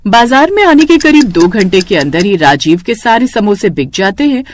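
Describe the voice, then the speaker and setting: A woman's voice: medium pitch, very animated, moderate pace, a little hiss or hum. A woman narrating a scripted cartoon moral story as a storyteller.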